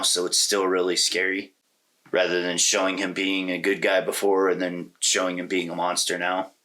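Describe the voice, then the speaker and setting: A man's voice: low-pitched, pushed and bright. A man co-hosting a podcast, casually discussing a film and thinking aloud in a loose, rambling way. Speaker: podcast co-host.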